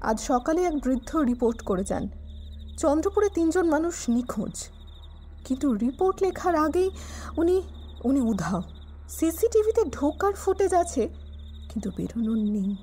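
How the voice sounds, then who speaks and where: A woman playing a confident young policewoman, the detective's sister, speaking slowly and troubled in an audio drama. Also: sits high, big pitch swings, mellow, faint music behind. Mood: uneasy, intrigued.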